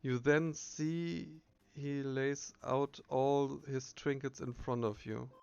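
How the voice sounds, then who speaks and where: A man narrating a video game playthrough, reading the text aloud with casual asides and halting self-corrections. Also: medium pitch, fairly even intonation, gravelly, darkish tone, smooth, dry recording, highs cut off.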